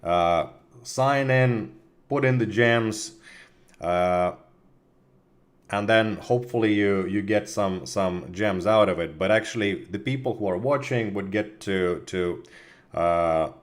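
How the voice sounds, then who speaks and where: A man with a slightly hoarse voice from a cold, chatting casually with viewers on a livestream, full of fillers and restarts and clearing his throat. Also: fairly low pitch, takes it slowly. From Russia. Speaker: community manager of a game studio.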